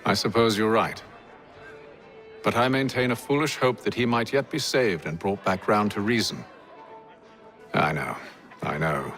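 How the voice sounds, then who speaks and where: An actor playing a composed, principled gentleman leader in a video game, speaking formally with measured, deliberate phrasing. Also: fairly low pitch, soft background music. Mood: earnest, reflective. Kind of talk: video game cutscene acting.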